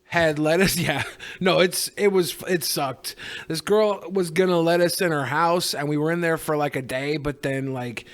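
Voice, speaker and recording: A man's voice: a little high, fairly bright tone. A man on a podcast panel, chatting casually and recounting anecdotes.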